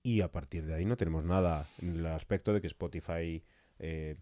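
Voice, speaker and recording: Deep, mellow-voiced man from a podcast interview. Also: a little fast, dry recording. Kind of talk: conversational interview with long reflective questions.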